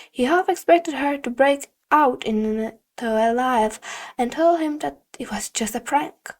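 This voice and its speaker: A woman narrates fiction in a fairly high voice. She takes her time, reading at a very slow pace with measured, expressive storytelling delivery. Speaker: podfic reader.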